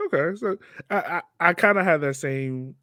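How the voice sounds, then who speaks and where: A man's voice: fairly high pitch, moderate pace, fairly bright tone, dry recording, studio quality. A man with an American accent hosting a casual podcast interview. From Richmond, Virginia, United States.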